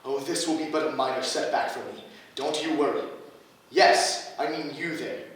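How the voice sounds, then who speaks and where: A man's voice: fairly high pitch, fairly even intonation, moderate pace, a little hiss or hum, lightly acted. A man playing a pompous, ancient cyborg archmage villain in a staged play reading, declaiming theatrically. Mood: smug, confiding. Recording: very echoey room, distant mic.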